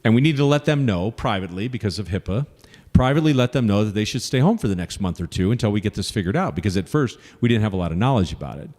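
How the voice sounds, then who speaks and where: A man's voice: on the low side, animated, fast, darkish tone, long reverb tail. A man running for office, earnestly answering audience questions at a forum and listing his points with frequent fillers. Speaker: congressional candidate.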